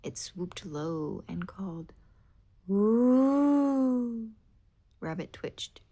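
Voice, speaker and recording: A woman reads a children's picture book aloud, expressive and animated. She takes her time, letting her voice rise and fall widely. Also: medium pitch, a little slow. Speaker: storybook reader. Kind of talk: children's read-aloud narration.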